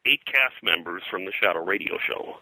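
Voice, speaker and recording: Deep, bright-voiced older American man reminiscing. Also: expressive, no echo, rough recording. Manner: rambling, anecdotal, halting mid-sentence. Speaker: interview guest, comics colorist and radio historian. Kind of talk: casual reminiscing in an interview.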